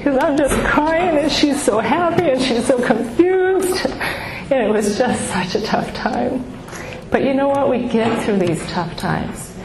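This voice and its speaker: Woman, middle-aged, soft small voice, halting, heartfelt testimony, noisy echoey hall. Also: sits high, lively intonation. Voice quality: soft, small voice she calls baby-like. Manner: halting, many ums and restarts, heartfelt. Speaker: speaker at a grief support gathering. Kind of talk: personal testimony to an audience. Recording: echoey room with background noise.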